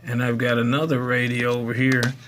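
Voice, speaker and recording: A man's voice: mid-range voice, monotone, a little fast, fairly bright tone, slight background noise. A man hosting a casual how-to demonstration in a folksy, rambling way. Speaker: tutorial video host.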